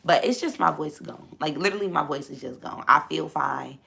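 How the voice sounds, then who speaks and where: Hoarse woman with a gravelly low voice. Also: brisk, bright, forceful tone. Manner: repetitive, emphatic, preachy. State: voice gone, hoarse. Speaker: host. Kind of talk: casual faith talk livestream.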